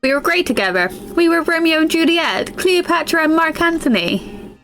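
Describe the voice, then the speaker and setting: A woman reading out a hot-headed, dramatic young man who loudly and indignantly defends himself. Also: very high voice, expressive, normal speed, soft background music, voice pushed up, poor audio.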